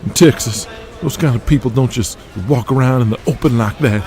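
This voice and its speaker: A man's voice: mid-range voice, brisk, darkish tone, voice pushed up, natural voice. Man putting on a brash, crude Texan wrestler. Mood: scornful. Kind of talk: audio drama dialogue.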